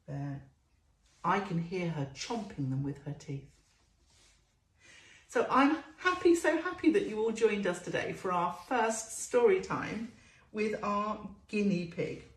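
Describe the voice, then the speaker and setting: Female children's storyteller, very lively sing-song delivery, drawn-out key words, roomy echoing recording. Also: mid-range voice. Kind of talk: storytelling to young children with questions and phonics.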